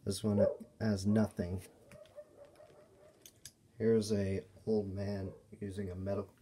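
A man giving a casual, unscripted show-and-tell as a toy reviewer, speaking in halting, broken phrases. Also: on the low side, level tone, warm tone, a little hiss or hum. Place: indoors at a table by a window.

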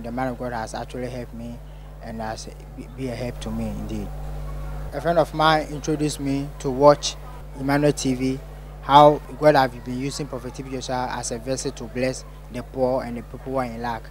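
Young guy w ghanaian accent giving testimony, pretty monotone, bit of hum and outdoor noise. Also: mid-range voice, brisk. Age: young adult. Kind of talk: interview testimony.

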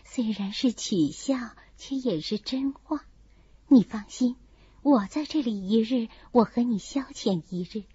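Woman as a composed, kindly, slow-spoken young lady. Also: on the high side, lively intonation, dark tone, husky, no echo, dull audio, pitched below the performer's own voice, heavily acted. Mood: consoling. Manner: soothing, measured. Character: composed, kindly, sensible young lady, a consoling older cousin. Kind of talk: dramatised audiobook reading of a classical novel.

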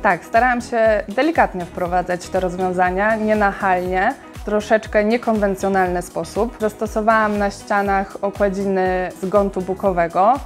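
Female architect guest, calm and explanatory, mid-pitch, some fillers, faint music under. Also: moderate pace, hardly any pauses. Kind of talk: explaining her design in an interview.

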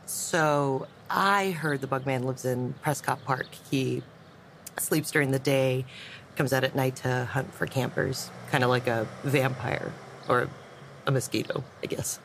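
A woman's voice: extremely low pitch, measured pace. An actress playing a good-natured small-town woman in an audio drama, talking casually about a local legend. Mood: playful. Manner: easygoing, casual. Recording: clear background ambience noise.

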